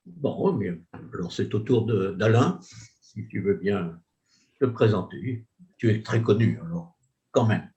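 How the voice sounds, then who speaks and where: A man's voice: fairly low pitch, lively intonation, measured pace, mellow. A man moderating a webinar panel over a video call, speaking informally and familiarly with some hesitation. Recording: dull lo-fi audio.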